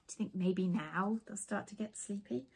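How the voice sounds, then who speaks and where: A woman's voice: medium pitch, moderate pace, darkish tone, breathy edge. A woman in her forties reading a children's storybook aloud, playfully engaging listeners with questions. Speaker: children's author reading her own book. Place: small indoor room lined with bookshelves.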